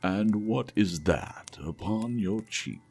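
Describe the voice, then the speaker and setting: A man voicing a calm, commanding ghost of the past, speaking slowly and sternly, raising his voice above his narration. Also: fairly low pitch, vocal fry, pitched above the performer's own voice, full character voice. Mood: solemn. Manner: slow, stern, ringing delivery. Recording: faint music behind.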